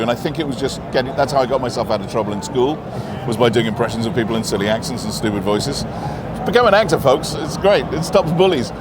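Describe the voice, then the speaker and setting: A man, an actor, chatting in an interview in an animated, joking, self-mocking way. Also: medium pitch. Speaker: actor guest. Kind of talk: casual interview chat. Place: busy convention. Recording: noisy convention floor.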